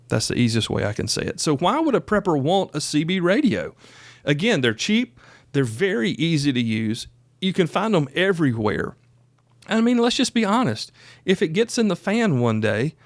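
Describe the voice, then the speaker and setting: A man's voice: mid-range voice, no echo. A man hosting an informal training show, explaining things in a plain-spoken, folksy, conversational way. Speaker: host of a prepper radio training show. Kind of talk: informal instructional talk.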